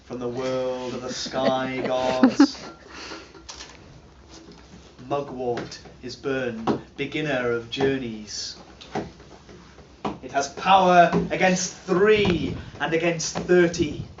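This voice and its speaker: Storyteller, dramatic incantation, very slow delivery, theatrical dynamics from hush to cry, echoey distant recording. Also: mid-range voice, fairly even intonation, background noise. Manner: theatrical, swinging from hushed whispers to loud cries. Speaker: storyteller performing a charm. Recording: echoey, dull distant live recording, loud drumming. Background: loud drumming.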